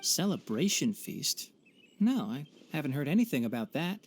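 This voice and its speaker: A man voicing a calm, clever young forest ranger mentor in a video game, measured and articulate in delivery. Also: a little high, slight background noise. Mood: matter-of-fact. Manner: measured, articulate, matter-of-fact. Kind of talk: animated video game dub.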